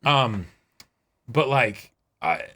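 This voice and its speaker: A man's voice: medium pitch, expressive, very slow, bright, forceful tone, husky. A man co-hosting an anime reaction show in casual discussion, agreeable and given to repeating his own phrases. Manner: agreeable, often echoing and repeating himself.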